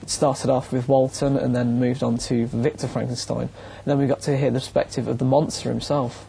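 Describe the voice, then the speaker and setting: A man's voice: mid-range voice, vocal fry, warm tone, husky. A young man giving an earnest, considered book review as a student.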